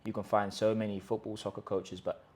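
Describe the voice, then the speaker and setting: A man with a fairly low voice delivers an emphatic talk to camera. He stacks up rhetorical questions and restarts his sentences as he pushes his point. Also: level tone, fairly quick, a little mellow, slightly rough. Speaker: host of a language-learning channel. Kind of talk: direct-to-camera pitch talk. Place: in Colombia.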